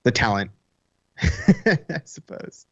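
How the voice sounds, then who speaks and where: A man's voice: on the high side, huge pitch swings, extremely slow, fairly bright tone, slightly rough, no echo, dull audio. A man co-hosting a casual group podcast, hesitant and self-correcting as he explains things. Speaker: podcast co-host.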